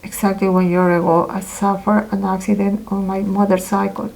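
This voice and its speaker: Woman doing a voice-over translation, slow and halting, dark flat voice. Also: medium pitch, creaky, rough recording. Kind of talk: translated first-person testimony read aloud.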